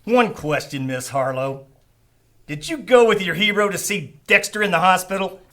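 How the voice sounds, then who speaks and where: An actor playing a suspicious, sarcastic 1940s police lieutenant who needles and accuses in a noir radio drama. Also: male voice, sits high, normal speed, bright, forceful tone. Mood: stern, accusing. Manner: pointed, sarcastic.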